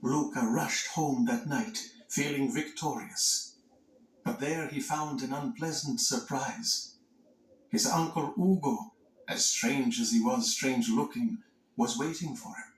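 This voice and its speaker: A man reading scripted children's read-along narration in an expressive, dramatic storytelling manner. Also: mid-range voice, slow pace. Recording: echoey narration over music. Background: music.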